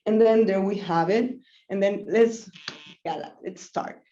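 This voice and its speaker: Woman from venezuela walking thru a design demo, slow and mellow, lots of ums, echoey lo-fi call audio. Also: medium pitch. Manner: easygoing, many ums and self-corrections. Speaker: web designer giving a live tutorial. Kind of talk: live screen-share tutorial. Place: small office room, indoors. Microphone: laptop webcam mic on a video call. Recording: roomy, dull lo-fi call audio.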